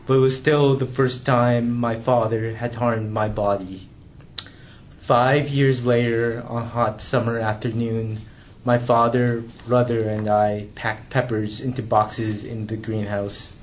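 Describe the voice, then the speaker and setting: A young man reading aloud from his own memoir, in a measured, restrained way with the odd stumble. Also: medium pitch, slight background noise. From Canada.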